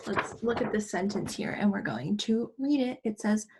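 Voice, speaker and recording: A woman with a somewhat husky, mid-pitched voice speaks as an elementary school teacher. She explains things to young children in simple, deliberate phrases. She often puts questions to her pupils as she goes. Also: lively intonation.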